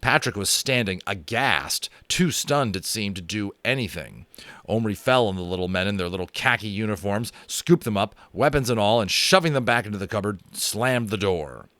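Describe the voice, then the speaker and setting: Animated American man reading aloud. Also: lowish voice, moderate pace, dry recording. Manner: animated, chatty, self-amused. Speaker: audiobook reader and host. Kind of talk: dramatic audiobook narration with chatty asides. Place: home room.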